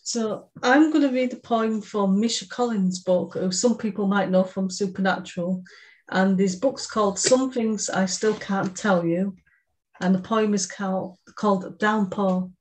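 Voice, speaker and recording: A soft-spoken woman with a mid-pitched voice reads a reflective poem in a plain, unhurried way. She talks about it afterward with the same thoughtful calm. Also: poor audio. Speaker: podcast co-host. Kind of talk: reading a poem aloud, casual chat.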